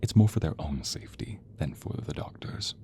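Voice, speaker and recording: A man playing a deep, silky sadistic sorcerer. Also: animated, dark tone, a little hiss or hum, full character voice. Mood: clinical, controlled. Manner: silky, menacing, drawn-out words, dropping into breathy whispers. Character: sadistic, theatrical male sorcerer villain, posing as a doctor. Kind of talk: audio roleplay acting addressed to the listener.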